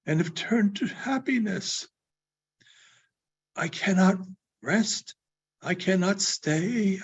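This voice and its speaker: A man voicing the mournful, doomed ghost of a dead businessman in a slow, solemn, declaiming storybook reading. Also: on the high side, breathy edge, exaggerated voice. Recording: dull, muffled sound.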